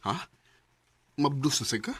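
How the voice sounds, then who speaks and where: An actor playing a callous, hard-drinking, quick-tempered husband in a Visayan radio drama, sneering and blustering in a Cebuano Bisaya accent. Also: male voice, mid-range voice, lively intonation, heavy background noise, lightly acted. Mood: dismissive, scornful.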